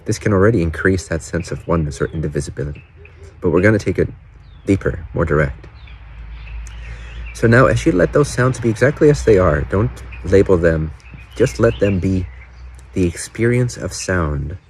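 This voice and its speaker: Low-pitched man guiding a meditation. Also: animated, darkish tone, breathy edge. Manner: unhurried, pausing between short phrases. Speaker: meditation guide. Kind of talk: guided meditation. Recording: birdsong and faint outdoor ambience behind. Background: doves, frogs, birds and distant cars.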